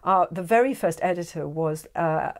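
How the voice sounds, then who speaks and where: Animated woman in a studio chat. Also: on the low side, moderate pace. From England. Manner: animated storyteller, gestures a lot, many fillers. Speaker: guest, audio drama director. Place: small studio set with sofas. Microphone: lapel mic.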